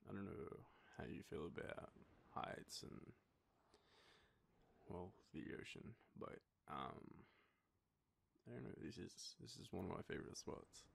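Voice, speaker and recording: Very deep, gravelly young Australian man. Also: expressive. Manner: hesitant, nervous, halting with breathy trailing phrases. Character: shy, nervous boyfriend on a romantic date. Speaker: audio roleplay performer. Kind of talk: intimate boyfriend roleplay, soft-spoken acting. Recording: faint background noise, ambient sound.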